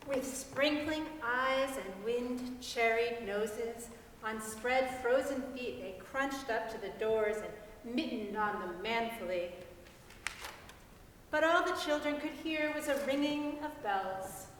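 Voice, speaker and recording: A woman giving an expressive, deliberate dramatic reading of a literary story. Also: on the high side. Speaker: reader in a church's dramatic reading. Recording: very echoey hall, distant mic, faint noise.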